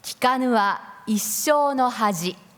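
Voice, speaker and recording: A woman carefully reading phrases aloud for a contest, deliberate and repeating each one. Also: on the high side. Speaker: reader of Japanese contest phrases. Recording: echoey hall with long reverb.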